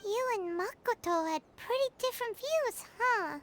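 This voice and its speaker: A woman voicing a small, cute fairy companion in a video game, with a squeaky childlike voice and an earnest, chirpy manner. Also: expressive, moderate pace, a bit bright. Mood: bright, curious. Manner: refers to self in third person, chirpy.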